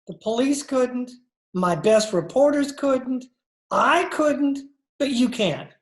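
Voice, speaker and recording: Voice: sits high, expressive, slow pace. A performer playing an exasperated, sarcastic newspaper boss in a 1940s-style radio comedy, with emphatic delivery. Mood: sarcastic, humoring. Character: exasperated newspaper boss named Ken, humoring then alarmed. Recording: roomy, echoey video-call recording.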